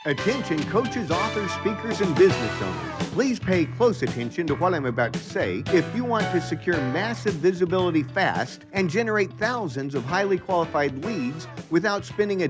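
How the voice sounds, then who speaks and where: A male announcer delivering a scripted promo. His voice sits fairly high with animated intonation, over a clear music bed. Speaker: advertisement announcer.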